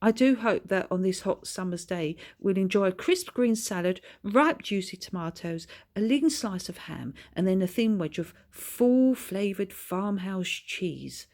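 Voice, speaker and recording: A woman's voice: medium pitch, expressive, a little slow, few pauses, darkish tone. A bookish young boy from a children's storybook, voiced by a woman. He speaks with precise, formal courtesy, absurdly polite for a child. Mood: earnest, polite.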